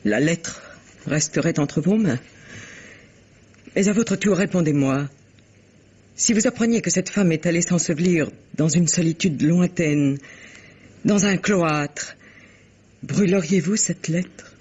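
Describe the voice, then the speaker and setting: A man's voice: fairly high pitch, slow, long pauses, darkish tone, breathy edge. A man voicing an anguished, dignified lady in a 1950s French radio mystery, formal, restrained and tragic. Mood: resigned. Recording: dull, muffled old radio sound.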